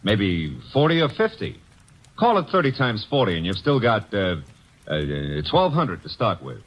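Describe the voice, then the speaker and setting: A wisecracking young assistant detective. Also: male voice, lowish voice, huge pitch swings, a little slow, exaggerated voice. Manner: brash and wisecracking. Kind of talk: 1940s radio drama acting. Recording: muffled old radio recording.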